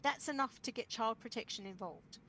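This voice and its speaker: Australian woman, fairly high voice, emphatic forum speaker, faint background noise. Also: fairly quick, sharp tone. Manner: earnest, emphatic, repeating for stress. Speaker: guest parent speaker. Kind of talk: impassioned forum talk.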